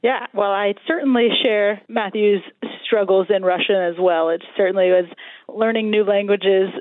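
A woman's voice: medium pitch, fairly even intonation, normal speed, no echo, narrow, tinny audio. A woman from Colorado with an American accent giving explanatory answers as an interview guest over a remote line. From Colorado, United States. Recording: thin band-limited remote line.

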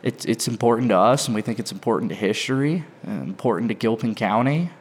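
A man's voice: on the low side, fast talker, breathy edge. A man with an American accent giving casual answers as an interview guest. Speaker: lodge master interviewed as a guest.

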